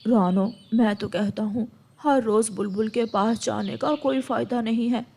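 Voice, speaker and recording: A downcast, loving father bird from a children's moral cartoon, acted by a woman. The voice sits on the high side and carries a worried, injured air. Birdsong plays behind it. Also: fairly even intonation, a little slow, creaky, warm tone, slight background noise. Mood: resigned, worried. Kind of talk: Hindi children's moral-story cartoon dialogue.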